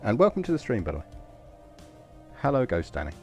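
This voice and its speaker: A man's voice: medium pitch, huge pitch swings, brisk, soft background music. An Englishman chatting casually while live-streaming a game, rambling and self-deprecating. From England. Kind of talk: casual live gameplay commentary.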